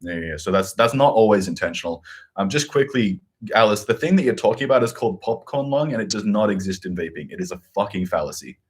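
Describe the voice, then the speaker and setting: A man with a deep voice, co-hosting a live stream and reading out comments in blunt, opinionated casual chat. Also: lively intonation, fast talker, slightly rough. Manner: blunt, opinionated, swears freely.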